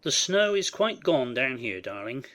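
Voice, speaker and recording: Expressive gentleman husband, acted by a man. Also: medium pitch, unhurried, faint music behind. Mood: tender, wistful. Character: devoted, wounded gentleman husband, gentle yet proud. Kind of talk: dramatized audiobook acting.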